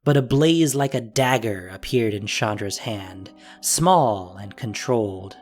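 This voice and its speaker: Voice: mid-range voice, expressive, measured pace, darkish tone, soft background music. A narrator reading a scripted fantasy story in a dramatic audio drama style.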